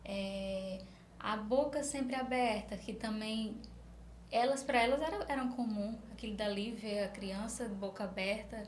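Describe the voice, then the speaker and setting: A woman's voice: mid-range voice, measured pace. A woman with a Northeastern Brazilian accent, a speech therapist answering in a conversational interview, explaining things through examples. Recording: roomy echo, faint background noise.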